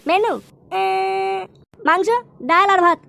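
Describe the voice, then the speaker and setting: An adult voicing a cheeky, foul-mouthed young boy in a Bengali comedy cartoon, with a whiny, brash, squeaky delivery. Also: steady tone, slow pace, noisy background, heavily acted. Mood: pestering. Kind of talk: comic cartoon dubbing in colloquial Bengali.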